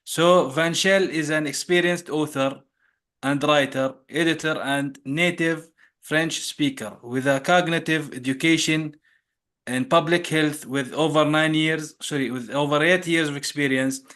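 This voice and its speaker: A man's voice: on the high side, steady tone, measured pace, fairly bright tone. A man hosting an interview podcast, reading the guest's introduction with small slips and corrections. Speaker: podcast host.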